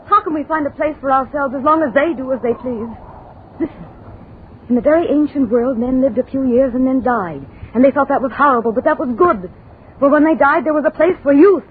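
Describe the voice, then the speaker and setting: A woman's voice: high voice, a little fast, close to an everyday voice. An actress playing an intense, insistent young rebel woman of the future in a 1940s radio drama. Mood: bitter, brooding. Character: four-hundred-year-old embittered, fanatical young rebel woman of the future. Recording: muffled, noisy old radio recording.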